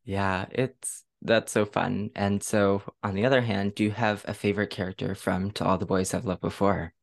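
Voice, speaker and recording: A man with a low-pitched voice hosts a casual interview. He speaks informally and agreeably, easing into questions in an easygoing conversational style. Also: flat delivery, normal speed, creaky. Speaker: podcast host.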